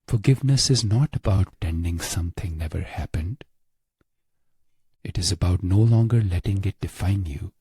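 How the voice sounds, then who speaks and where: A man narrating a guided meditation in a hushed, measured way with long pauses. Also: deep voice, breathy edge, dry recording, clean studio sound.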